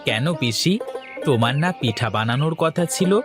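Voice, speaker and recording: A voice actor playing a wary, suspicious cartoon companion with a measured, doubtful manner. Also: mid-range voice, lively intonation, over music, lightly acted. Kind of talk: Bengali cartoon story dialogue.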